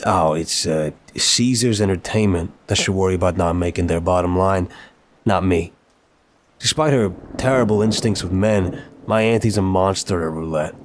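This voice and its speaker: A man playing a suave, playful young mafia boss in an intimate audio roleplay, teasing and smooth-talking, leaning on words for emphasis. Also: low-pitched, normal speed, a little hiss or hum, natural voice. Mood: smug, teasing.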